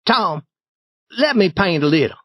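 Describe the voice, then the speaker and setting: A man's voice: high voice, lively intonation, unhurried, a bit bright, no room sound, studio quality, pitched above the performer's own voice, exaggerated voice. Man doing a boisterous eager young boy. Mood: pleading. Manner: boisterous, pleading. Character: eager boy pretending to be a riverboat.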